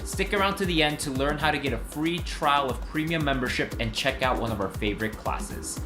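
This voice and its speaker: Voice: mid-range voice, a little fast. A show narrator reading a polished, inviting scripted introduction. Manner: polished, inviting presenter delivery. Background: music.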